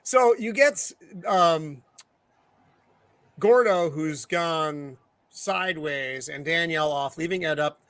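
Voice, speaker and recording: An easygoing American man recaps plot points and laughs along with his co-hosts. His voice is a touch high, recorded close and dry. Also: fairly bright tone, no echo. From United States. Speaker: podcast co-host. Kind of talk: casual group chat.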